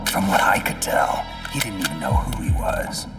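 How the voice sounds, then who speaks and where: An actor playing a gruff, cynical infiltration soldier with terse, sardonic delivery in a video game drama. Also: male voice, low voice, very animated, moderate pace, distant mic, loud music behind the voice. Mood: guarded, probing. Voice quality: gravelly, breathy undertone.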